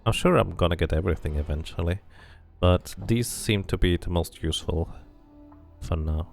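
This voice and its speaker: A man's voice: low voice, normal speed, creaky, a little mellow, soft background music. A man giving casual game commentary, thinking aloud as he plays and reading bits of game text out. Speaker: streamer playing and narrating a game.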